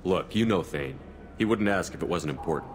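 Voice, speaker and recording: An actor playing a decisive, principled military commander in a video game, direct and businesslike. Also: male voice, low voice, lively intonation, brisk, noisy background. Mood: firm, pressing. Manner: direct, businesslike, terse questions.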